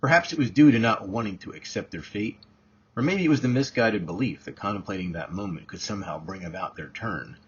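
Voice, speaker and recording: A man with a husky voice reading his own novel aloud as scripted narration. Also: lowish voice, expressive, a little fast. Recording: dull, muffled lo-fi recording.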